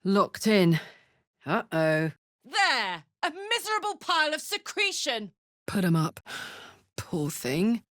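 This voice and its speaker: A brash, big-hearted warrior, very expressive. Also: female voice, medium pitch, slow pace, no room sound, clean studio sound, heavily acted. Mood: gruff, wry, then urgent, loud. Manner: expressive, informal, blunt and hearty. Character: brash, warm-hearted tiefling woman warrior, earthy and eager. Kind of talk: video game character voice acting.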